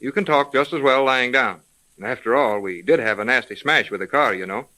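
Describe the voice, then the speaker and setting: A man's voice: medium pitch, brisk, pushed and bright, natural voice, low-quality recording. An actor playing a breezy, eager rookie male detective in a casual manner, in a 1930s radio drama. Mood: solicitous, flirty.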